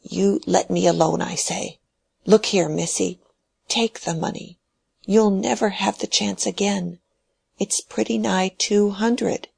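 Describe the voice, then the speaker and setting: A woman's voice: mid-range voice, fairly even intonation, slow pace, gravelly, a little mellow, husky, no room sound, pitched above the performer's own voice, heavily acted. A woman doing a shaky, insistent dying old man. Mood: agitated. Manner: pleading and commanding, voice shaking.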